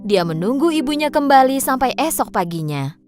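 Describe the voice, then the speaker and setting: A woman reads a children's tale as a narrator. Her voice is high and swings widely in pitch, giving an expressive storybook delivery. Music plays clearly behind her. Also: fairly quick.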